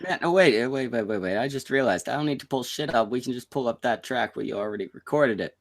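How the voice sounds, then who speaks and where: A man from Canada hosting a casual podcast chat, crude and joking, with sudden high squeaks when he gets excited. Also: fast. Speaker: podcast host.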